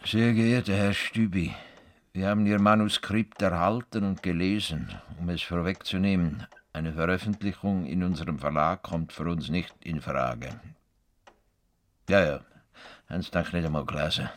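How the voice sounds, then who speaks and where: An actor in a radio play playing a stubborn, self-important farmer who grumbles scornfully. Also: male voice, low voice, steady tone, moderate pace, close to an everyday voice. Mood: scornful, indignant. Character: stubborn, indignant male farmer and self-styled world-improver. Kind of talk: radio play acting in Swiss-coloured German.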